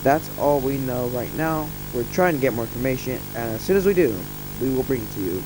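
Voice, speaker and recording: A man playing a flustered but formal local TV news anchor, delivering a breaking report in a halting, stumbling way. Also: medium pitch, animated, lightly acted. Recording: noisy, humming engine-like background.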